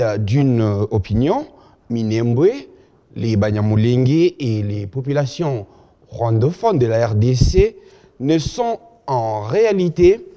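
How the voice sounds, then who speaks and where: A man hosting a studio interview, measured and full of hesitations. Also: medium pitch, mellow, in a large hall. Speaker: TV talk show host.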